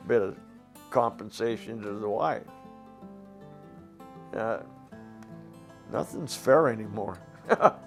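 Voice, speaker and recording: Raspy old guy, ex coal miner, blunt and fired up, faint guitar behind. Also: mid-range voice. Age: older. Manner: plain-spoken, indignant, rhetorical questions. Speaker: former coal miner interviewee. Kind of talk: documentary interview.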